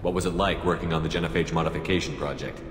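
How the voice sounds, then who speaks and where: A man's voice: low-pitched, steady tone, rapid-fire, close to an everyday voice. A male actor playing a direct, principled military commander in a video game, blunt and challenging in his questions. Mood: probing. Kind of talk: dramatic video game dialogue. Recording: echoey hall reverb, music behind.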